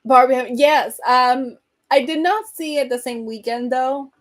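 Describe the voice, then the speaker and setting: A woman hosting a casual livestream, rambling and answering viewer comments, her voice getting hoarse from talking so much. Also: high voice, unhurried. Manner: chatty, rambling, reads and answers comments, laughs often. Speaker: livestream host chatting with viewers.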